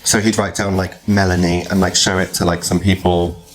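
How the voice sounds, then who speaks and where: A man in his thirties with a fairly low voice hosts a casual podcast. He chats informally and tells stories with a jokey, gossipy manner. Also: flat delivery, moderate pace, soft background music, rough recording. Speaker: podcast host. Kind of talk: casual chat interview, animated storytelling.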